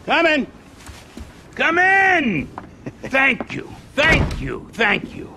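Creaky, high-voiced old movie producer. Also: male voice, wildly swooping pitch, drawn-out words, noisy background, heavily acted. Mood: effusive, blunt. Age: older. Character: theatrical, blunt old male movie producer. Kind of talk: cinematic video game acting.

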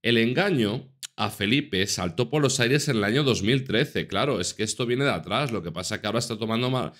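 A man's voice: medium pitch, a little fast, fairly bright tone. A man in his thirties from Spain commenting on news articles to his viewers in an earnest, casual manner, stressing points by repeating them. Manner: earnest, repeats for emphasis, addresses viewers directly. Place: small room indoors.

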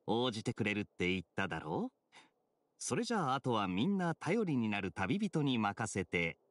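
Suave, teasing young knight. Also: male voice, fairly low pitch, big pitch swings, moderate pace, a bit bright, slight background noise. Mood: amused. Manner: laid-back, wry, smooth-talking. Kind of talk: Japanese anime game acting.

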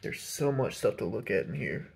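A man's voice: on the low side, expressive, normal speed, creaky, a little mellow, slightly rough. A man giving a chatty, enthusiastic walkthrough of an empty old house, recorded on a handheld camera mic. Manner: enthusiastic, chatty tour guide. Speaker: urban explorer host. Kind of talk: casual walkthrough narration.

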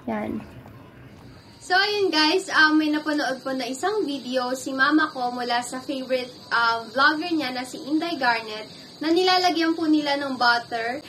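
A woman's voice: high-pitched, moderate pace, fairly bright tone, slight background noise, poor audio. Giggly young woman cooking-vlog host. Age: young adult. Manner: chatty, giggly, polite and playful. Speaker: cooking vlogger. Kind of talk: casual cooking vlog chat. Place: dark indoor room, seated at a table.

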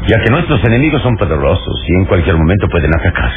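An actor playing a solemn, noble adventure hero, calm and commanding, in an old radio serial. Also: male voice, sits low, fast talker, noisy background. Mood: grave. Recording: muffled vintage radio, loud music behind.

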